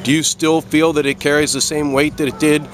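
A man's voice: on the high side, flat delivery, brisk, a little hiss or hum. A man working as an interviewer, asking conversational questions outdoors. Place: on a boat in a marina, outdoors.

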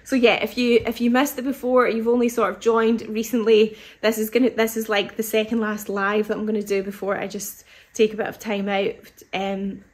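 A woman in her thirties hosting a live sewing question session from her fabric shop, chatting casually with lots of ums and restarts, her voice a little hoarse from a cold. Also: mid-range voice, level tone, a little fast, few pauses. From United Kingdom. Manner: chatty, thinks aloud with many ums and restarts. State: slightly hoarse with an oncoming cold. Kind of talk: casual live-stream chat answering viewer questions. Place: fabric shop floor with fabric bolts and dress forms, indoors. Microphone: phone or webcam at desk distance.

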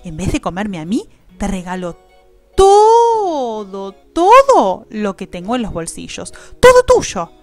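Mamá maestra argentina interpretada por una mujer, muy animada. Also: female voice, mid-range voice, slow, faint music behind. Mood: persuasive. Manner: animated, emphatic. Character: playful, dramatic schoolteacher mother telling her adventure.

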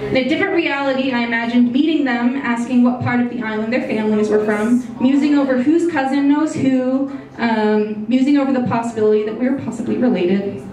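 A young woman reading her personal essay aloud into a stand mic at a small indoor venue, in a measured, reflective way. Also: on the high side, darkish tone, distant mic, a little hiss or hum. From United States. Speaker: essay reader. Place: small brick-walled bar venue, indoors. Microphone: stage mic on a stand. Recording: very echoey room, voice a bit off-mic.

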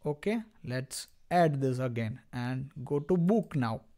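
A man instructing in an unscripted screen-recorded software demo, explaining step by step in a halting way and addressing viewers directly. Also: mid-range voice, lively intonation.

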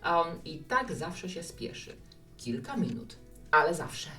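A hospitable, musing woman who runs a bar, played by an actress in a radio play. Her voice is fairly low and very animated in its melody, recorded in a roomy space at some distance from the mic. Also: a little slow, soft background music, exaggerated voice. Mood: warm, reflective. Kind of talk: Polish radio play dialogue.

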